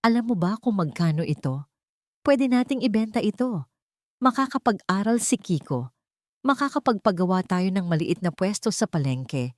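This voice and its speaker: A woman's voice: mid-range voice, animated, moderate pace, a little mellow, dry recording, clean studio sound, close to an everyday voice. A woman voicing a poor, desperate middle-aged mother who speaks in a pleading, hopeful way. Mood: eager hopeful.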